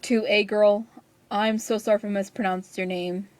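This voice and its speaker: A woman's voice: mid-range voice, little pitch movement, moderate pace, darkish tone, no room sound. A woman giving casual rant commentary as a video maker, with a sarcastic, scolding way of talking.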